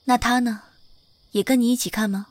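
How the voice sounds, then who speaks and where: A woman's voice: on the high side, steady tone, fairly quick, no room sound, close to an everyday voice. A woman playing a composed, mature young mother in an audio drama, reserved and a little strict. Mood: probing. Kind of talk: modern audio drama acting.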